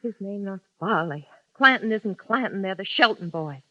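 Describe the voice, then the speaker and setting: A woman's voice: mid-range voice, lively intonation, moderate pace, dry recording, natural voice. An actress playing a frightened, lonesome young woman who pleads urgently, in a 1950s radio drama. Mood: confiding. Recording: dull lo-fi 1950s radio recording.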